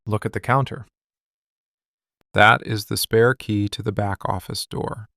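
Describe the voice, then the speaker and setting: Guy doing a mafia boss boyfriend asmr, low gravelly voice, slow n intense, possessive, super dry close mic. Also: steady tone, no room sound, heavily acted. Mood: protective, commanding. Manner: slow, deliberate, intense, hushed confiding delivery. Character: possessive, menacing mafia boss in love, adult man. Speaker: ASMR voice actor. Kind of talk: intimate boyfriend ASMR roleplay. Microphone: close studio microphone, dry.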